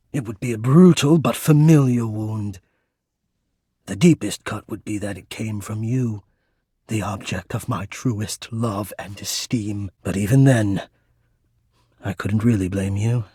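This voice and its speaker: An actor playing an anxious, lovelorn, poetic spirit of the ductwork in a video game, speaking in a theatrical, florid, halting and earnest way. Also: male voice, lowish voice, warm tone, breathy edge, no echo, clean studio sound. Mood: yearning, wounded. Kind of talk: video game character acting.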